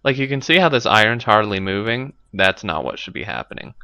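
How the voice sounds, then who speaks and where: A man's voice: lowish voice, lively intonation, brisk, vocal fry, bright, forceful tone, smooth, no echo, highs cut off. A man with a stuffy nose commenting casually on his gameplay, thinking aloud with lots of fillers, recorded on a desk microphone at home. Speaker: let's play host.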